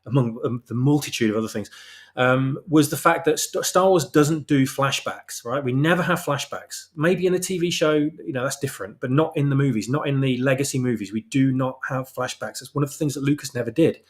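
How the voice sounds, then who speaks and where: A man speaks quickly in a casual podcast discussion, with a mid-pitched voice. He is emphatic and opinionated, often restating a phrase to press it home. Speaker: guest, fan-fiction writer.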